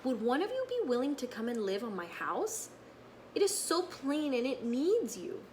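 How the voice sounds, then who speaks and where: A woman reading the lines of a small, polite male hermit crab from a children's storybook in an expressive, earnest way. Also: sits high, moderate pace, a little hiss or hum, voice pushed up. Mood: admiring, polite.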